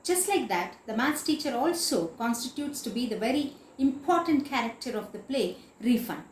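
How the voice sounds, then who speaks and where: A woman's voice: on the high side, animated, moderate pace, slight background noise. A woman teacher giving an explanatory literature lecture in a didactic, emphatic way, repeating and spelling out key words. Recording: echoey room.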